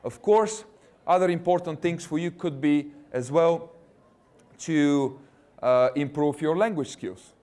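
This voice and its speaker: Man, 30s, Russian accent, non-native English, jokey recruiter pitching to students, slightly high voice. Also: takes it slowly, darkish tone. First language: not English. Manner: jokey, chatty, quizzes the audience. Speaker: university recruiter. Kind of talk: presentation to students.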